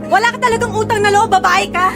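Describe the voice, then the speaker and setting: A possessive, scornful rival in an audio melodrama. She speaks extremely high, with clear music behind her. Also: fairly even intonation, quick pace. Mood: angry. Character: possessive, scornful rival woman claiming another's child. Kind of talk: melodramatic audio drama acting. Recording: voice over dramatic music.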